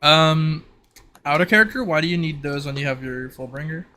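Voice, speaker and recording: A man's voice: a little high, a little fast, pushed and bright, a little hiss or hum. A man running a tabletop roleplay as game master, talking casually.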